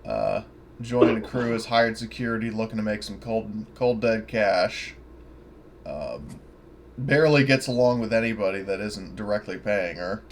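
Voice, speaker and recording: A man chatting casually as a player in an online tabletop game, speaking in halting fragments. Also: on the low side, slight background noise.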